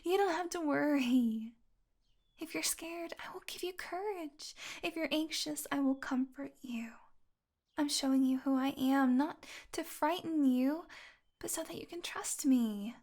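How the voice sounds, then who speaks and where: A woman playing a possessive fantasy healer in an audio roleplay, tender and secretly bloodthirsty, speaking softly and deliberately. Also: high voice. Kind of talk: intimate audio roleplay monologue.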